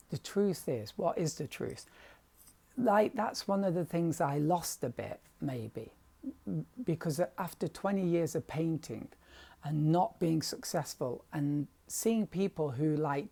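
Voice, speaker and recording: Dry-voiced, gravelly English man, hesitant. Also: on the high side, mellow. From England. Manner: hesitant, self-deprecating, trailing off into whispers. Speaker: painter vlogger. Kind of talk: rambling confessional vlog monologue.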